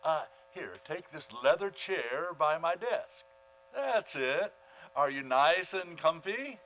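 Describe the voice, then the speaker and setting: A fussy school principal in an old-time radio comedy, played by a man. He is pompous and falsely gracious, talking in formal, halting phrases in a somewhat high voice. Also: very animated, bright, forceful tone, slight background noise, exaggerated voice. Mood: unctuous, martyred. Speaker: actor in a community radio play.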